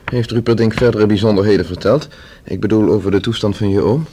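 An actor playing a solicitous, serious fiancé in a radio play, courteous and questioning. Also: male voice, on the low side, fast, dark tone, slight background noise, lightly acted. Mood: concerned. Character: Robert, solicitous engineer fiancé, a serious man.

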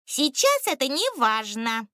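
A woman's voice: sits high, very animated, slow, pushed and bright, dry recording, studio quality, voice pushed up, full character voice. A woman voicing a nervous, stubborn male ostrich creature in a storybook, suspicious and self-important, with an urgent, blunt way of talking. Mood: nervous, insistent.

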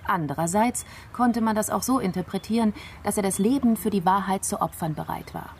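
An actress playing a methodical female investigator in a radio crime play, explaining a case in a measured, explanatory way. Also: medium pitch, a little mellow, a little hiss or hum, natural voice.

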